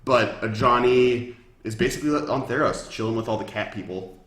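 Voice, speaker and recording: A man's voice: medium pitch, normal speed, fairly bright tone. A man explaining fantasy game lore in casual conversation. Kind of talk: casual chat, explaining a story. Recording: echoey room.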